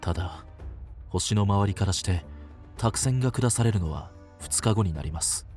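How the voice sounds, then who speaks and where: A man's voice: lowish voice, animated, normal speed, a little mellow, soft background music, natural voice. A man playing a protective seer and mentor in a fantasy drama, sober and blunt in manner. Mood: measured. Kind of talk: dramatic scripted voice acting.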